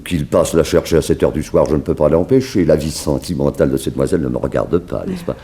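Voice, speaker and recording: An actor playing a flamboyant, self-important Parisian couturier, courteous and theatrical, in a 1960s French radio drama. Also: male voice, extremely low pitch, fast, dark tone. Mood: offhand. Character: flamboyant celebrated Parisian couturier, grandiose and eccentric man.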